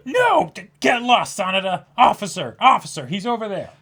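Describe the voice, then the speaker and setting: A man's voice: sits high, very animated, moderate pace, sharp tone, slightly rough. A man co-hosting a manga reading stream, mixing casual chat with playful read-aloud.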